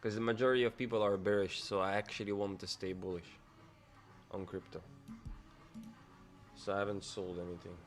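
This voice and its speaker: Young man, lowish voice, relaxed livestream host musing to himself over faint music. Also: fairly quick, pauses often. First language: Dutch. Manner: casual, loose, musing half to himself. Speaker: trading livestreamer. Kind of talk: live-stream commentary, thinking aloud.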